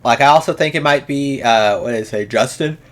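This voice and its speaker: A man's voice: mid-range voice, a little fast, slight background noise. A young man hosting a reaction video, talking casually and frankly, with lots of restarts and swearing. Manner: rambling, frank, swears freely, many restarts.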